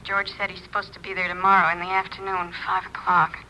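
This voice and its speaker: Casting: young American female informant, very piercing tone, monotone, tense, radio drama, slight hiss. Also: fairly low pitch, fast talker. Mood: anxious. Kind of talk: 1950s radio acting. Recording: noisy, thin old radio recording.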